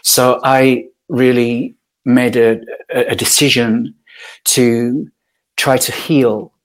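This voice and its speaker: Guest: middle-aged man, even mid-pitched voice, unhurried and reflective, lots of ums. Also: poor audio. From Yorkshire, northern England. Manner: thoughtful and unhurried, with frequent ums and pauses. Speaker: podcast guest. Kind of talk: reflective personal storytelling.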